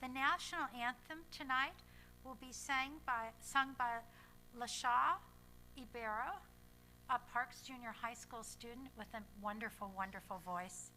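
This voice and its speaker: A woman chairing a school board meeting, speaking in a measured, pausing way with small restarts. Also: on the high side, pushed and bright, a little hiss or hum, poor audio. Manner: measured, pausing, slightly halting. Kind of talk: formal meeting remarks.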